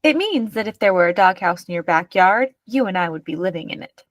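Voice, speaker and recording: A woman reader puts on an eccentric aunt from a children's picture book. She is gruff and blunt, a proud scavenger with offbeat turns. The sound is dry, without room echo. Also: medium pitch, lively intonation, a little fast, close to an everyday voice.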